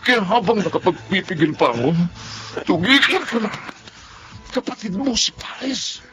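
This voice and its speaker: Wounded, protective husband, higher-pitched male voice. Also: very animated, background noise. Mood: angry, pleading. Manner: earnest, halting, heavy with emotion. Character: wounded, protective husband confronting his wife's affair. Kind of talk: Tagalog radio drama acting. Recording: faint music behind the voice.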